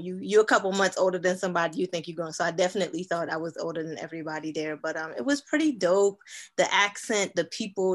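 Chatty slangy woman relationship coach. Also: on the low side. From Trinidad. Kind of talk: casual interview chat.

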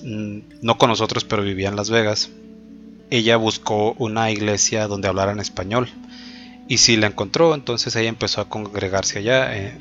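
A man gives a personal faith testimony. He talks reflectively and hesitantly, pausing often on filler words. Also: on the low side, a bit bright. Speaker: testimony speaker. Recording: dull lo-fi with faint background music.

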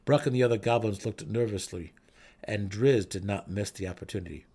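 A man's voice: fairly low pitch, a little fast, gravelly, dark tone, husky. A man narrating a fantasy story in a dramatic reading style.

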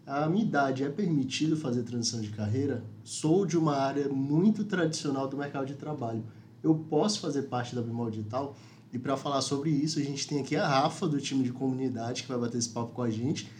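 Male host for a corporate live chat, mid-pitched, relaxed conversational delivery, faint background hiss. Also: brisk. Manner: conversational, frequent "né" tags. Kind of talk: company livestream chat.

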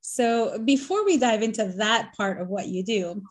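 A woman from Nicaragua hosts a casual podcast interview, her voice sitting a little higher than most. She talks in a friendly, upbeat and chatty way, keeping the conversation moving with easy questions. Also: lively intonation, moderate pace. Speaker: podcast host.

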